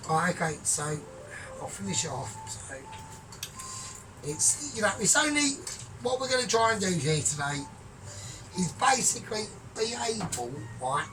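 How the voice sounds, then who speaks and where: A man's voice: high-pitched, sing-song, unhurried, long pauses, noisy background. Rambling, sweary man cooking far from mic. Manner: softly spoken, often trailing off quiet. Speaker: home cook vlogger. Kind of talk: casual cooking vlog. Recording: distant mic with music behind.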